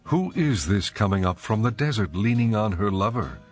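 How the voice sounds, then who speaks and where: Man acting a low-voiced adoring ancient bridegroom, music behind. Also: lively intonation, normal speed, close to an everyday voice. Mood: earnest. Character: adoring male lover praising his bride, ancient royal poetry. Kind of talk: dramatized scripture reading.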